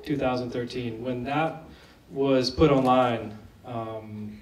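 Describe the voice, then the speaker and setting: A film director, a man, answering audience questions after a screening. He sounds a bit hoarse and talks conversationally, often restarting his sentences. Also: medium pitch, fairly even intonation, slow pace, a little mellow, a little hiss or hum. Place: large screening hall. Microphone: lost microphone, speaking unamplified. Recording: echoey hall, voice from far off.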